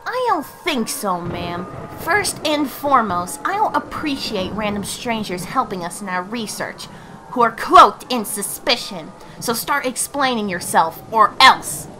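Woman voicing a determined, expressive fox researcher. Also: on the high side, unhurried, big-room sound, faint music behind, full character voice, rough recording. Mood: stern suspicion. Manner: firm, bossy. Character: stern, curious fox researcher, bossy and determined. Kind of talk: scripted comic dub acting.

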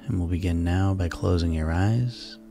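Male hypnotist, very low and mellow, soothing sleep-session narration with soft music underneath. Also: gravelly. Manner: soothing, unhurried, repetitive. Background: relaxing background music.

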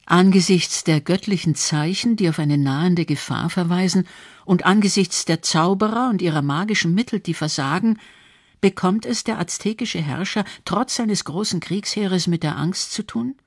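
A woman's voice: lowish voice, moderate pace, no room sound, clean studio sound. A woman reading scripted documentary narration.

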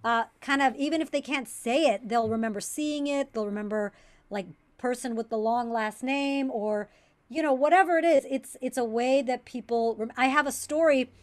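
A woman comedian whose first language is Tamil, telling stories with lively energy in a casual interview over a video call. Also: high-pitched, moderate pace. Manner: lively, animated storyteller. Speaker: comedian guest.

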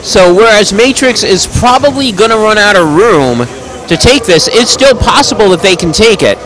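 A man giving live play-by-play commentary on a bowling match in a bowling alley. He has a loud, projecting voice and makes chatty, joking asides between calls. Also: high voice, lively intonation, moderate pace, low-quality recording.

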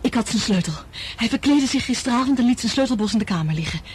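A woman's voice: on the high side, animated, quick pace, natural voice. Actress playing anxious, determined woman, noisy recording. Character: anxious, determined woman scientist and protective mother. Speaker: radio drama actress. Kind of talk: Dutch radio play acting. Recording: noisy old radio drama recording.

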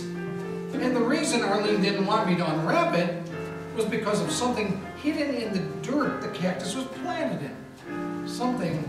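A man narrating and playing a wisecracking hardboiled detective in a live 1940s radio play, deadpan. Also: high voice. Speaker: narrator and lead actor. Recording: echoey hall from far off with music.

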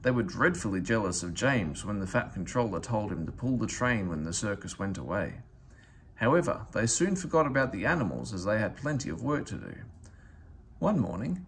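A man reading a children's story aloud as scripted narration, in an expressive storytelling manner. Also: on the low side, normal speed, slight background noise. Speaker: storybook reader.

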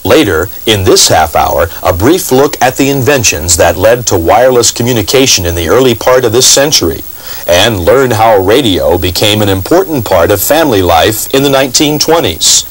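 A man's voice: on the low side, animated, moderate pace, low-quality recording. An American man hosting and narrating a TV program in a scripted documentary style. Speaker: TV program host, radio collector.

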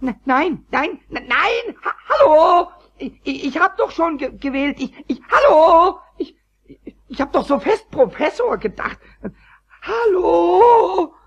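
Raspy squeaky little kobold, childish n flustered, swoopy pitch, stutters, muffled old tape radio play. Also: male voice, takes it slowly, exaggerated voice. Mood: flustered, indignant. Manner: stammering, repeating words excitedly. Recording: dull old cassette recording.

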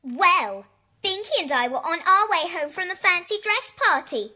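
A woman's voice: very high-pitched, expressive, normal speed, sharp tone, exaggerated voice. An actress playing a cheeky, teasing schoolgirl prankster with a mocking manner in a children's audio drama. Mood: smug, teasing. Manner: mocking, giggly.

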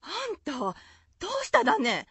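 A woman's voice: high-pitched, huge pitch swings, normal speed, creaky, a bit bright, breathy edge, no echo, exaggerated voice. A woman playing an anxious, caring rustic wife in a folk-tale radio drama, fretful and questioning, with Shizuoka dialect. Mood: anxious, curious.

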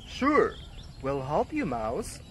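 A man acts out a helpful, confident zebra in a children's puppet show. He explains things in a deliberate, simple way and sounds friendly. The amateur recording has faint outdoor noise behind it. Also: on the high side, huge pitch swings, fairly bright tone, exaggerated voice. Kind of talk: scripted educational puppet show.

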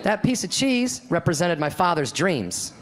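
A man in his thirties doing a deadpan stand-up routine on a comedy club stage, acting out the voices of the people in his stories, into a handheld mic. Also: sits high, unhurried, heavy background noise. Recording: live club recording with crowd laughter. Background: audience laughter.

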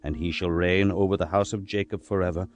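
A majestic heavenly messenger played by a man speaks in a very low register. The delivery is grave and measured, like a proclamation in an audio scripture drama, over soft music. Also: fairly even intonation, close to an everyday voice. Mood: exalted proclamation. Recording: music behind the voice.

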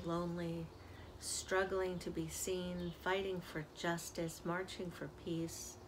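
An older woman, a community minister, giving a gentle spiritual reflection on an outdoor porch, filmed by a camera close up. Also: on the low side, background noise. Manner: slow, soft-spoken, reflective. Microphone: camera at close range. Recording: outdoor ambience audible behind.

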